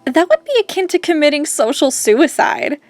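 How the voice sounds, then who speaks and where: A snooty young rich girl in a radio drama. She speaks very high with lively swoops, coquettish and full of affected superiority. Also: moderate pace, soft background music. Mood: haughty, breezy. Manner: haughty, affected.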